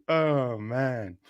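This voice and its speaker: A man hosting a podcast interview, telling stories casually and acting out the quoted lines with lots of energy. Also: mid-range voice. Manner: animated storyteller acting out quoted dialogue. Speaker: podcast host.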